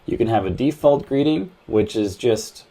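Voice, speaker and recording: A man's voice: medium pitch, lively intonation, normal speed, vocal fry, warm tone. A man narrating a casual step-by-step phone tutorial in a plain-spoken, conversational way, as the host of a tech how-to.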